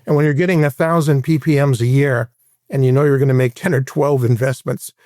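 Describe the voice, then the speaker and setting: A man's voice: mid-range voice, steady tone, brisk, a little mellow, dry recording. Older American man, dry close recording. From Hawaii, United States. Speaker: interview guest, private equity veteran. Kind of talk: conversational career recollection in an interview.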